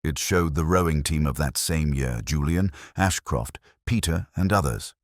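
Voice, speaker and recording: A man playing a weary, brooding middle-aged police inspector, narrating a scripted detective story in a measured, reflective, literary manner. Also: a bass voice, no room sound, studio quality. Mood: somber, reflective.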